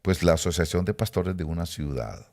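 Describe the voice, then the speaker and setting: A middle-aged man from Rica, speaking, preaches in a very deep register with a gravelly rasp. He climbs and swells on the words he stresses, then sinks back into soft low asides. His pace shifts often as he teaches. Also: a little fast. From Costa Rica. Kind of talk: sermon teaching.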